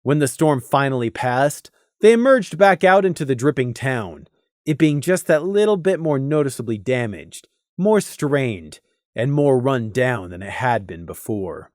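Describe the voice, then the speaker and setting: A man reading a scripted story as a narrator, deliberate and expressive, with dramatic emphasis. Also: mid-range voice, no room sound, clean studio sound. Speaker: audiobook narrator.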